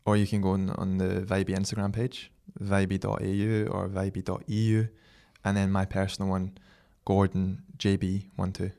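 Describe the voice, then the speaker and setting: A man's voice: low voice, moderate pace, vocal fry, hardly any pauses. A man from Glasgow with a Scottish accent, talking casually as a guest on a podcast. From Scotland. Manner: relaxed, plain-spoken, repeats words. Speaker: guest, brand co-founder.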